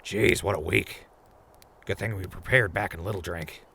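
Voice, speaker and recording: A man playing a practical, friendly old wasteland traveler in an audio drama, with a raspy, folksy voice. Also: deep voice, moderate pace, bright, forceful tone, full character voice. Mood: easygoing, wry. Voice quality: gravelly, raspy. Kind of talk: post-apocalyptic audio drama acting.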